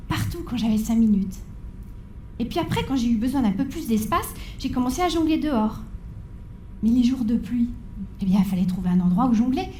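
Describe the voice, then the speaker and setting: Woman, 40s, stage talk, wry dramatic storytelling, high voice, echoey hall. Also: warm tone. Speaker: conference speaker. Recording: echoey hall with faint background noise.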